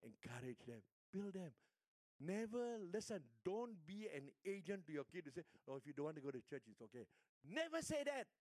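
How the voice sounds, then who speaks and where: An older man with a Singaporean accent, a pastor preaching a sermon and telling stories, moving between hushed lines and emphatic ones. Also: high voice, very animated, a little fast, dry recording.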